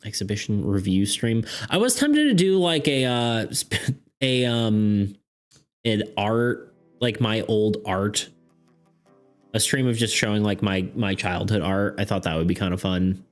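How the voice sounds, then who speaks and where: A man's voice: fairly low pitch, lively intonation, unhurried. A young man streaming casually about art and game design, rambling with lots of fillers and laughs, saying he is losing his voice. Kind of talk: casual live-stream chat. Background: faint background music.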